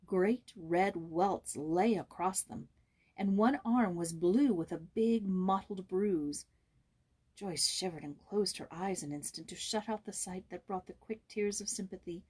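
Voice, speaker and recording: A woman reads a children's story as an audiobook narrator. Her voice sits at a medium pitch with a slightly husky, breathy grain, and her scripted delivery is expressive. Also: a little slow.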